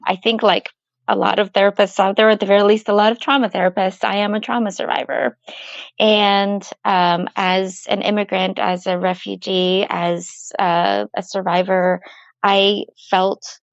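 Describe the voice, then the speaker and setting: A woman's voice: mid-range voice, little pitch movement, moderate pace, vocal fry, no room sound. A woman from Ukraine, a psychologist guest, explaining her work earnestly in an interview. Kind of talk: interview answers. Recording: muffled dry mic.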